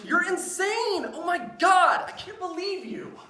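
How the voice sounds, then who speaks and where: A woman's voice: very high voice, measured pace, sharp tone, heavily acted. A woman playing an exasperated, sarcastic college-age son in a staged script reading, with a dramatic manner. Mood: outraged. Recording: echoey room.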